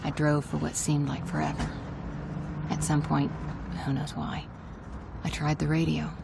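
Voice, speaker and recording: A woman's voice: sits low, completely flat delivery, a little slow, creaky, very noisy, full character voice. An actress playing a hardened, world-weary woman who survived a zombie outbreak, narrating a game cutscene in a brooding, terse way. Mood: cold, brooding. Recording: loud music over the voice.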